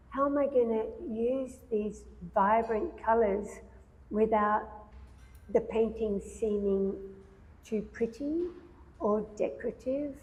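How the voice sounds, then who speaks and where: Soft-spoken woman, slow and hesitant. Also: medium pitch. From Australia. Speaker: painter discussing her exhibition. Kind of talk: gallery talk, casual conversation. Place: art gallery, large room. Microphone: handheld microphone. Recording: long reverb in a gallery hall.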